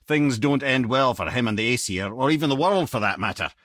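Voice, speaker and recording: Wry old Scottish storyteller, animated delivery. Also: male voice, medium pitch, brisk, no echo, clean studio sound. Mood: solemn storytelling. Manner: wry, chatty, wordy storytelling. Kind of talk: video game character dialogue.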